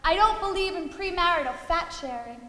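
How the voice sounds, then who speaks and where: A woman's voice: extremely high pitch, animated, brisk, bright, forceful tone, full character voice. An actress playing a prim, sassy, flirtatious woman in an amateur stage comedy. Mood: sassy, indignant. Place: theatre stage during a live play. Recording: echoey stage hall, faint hiss.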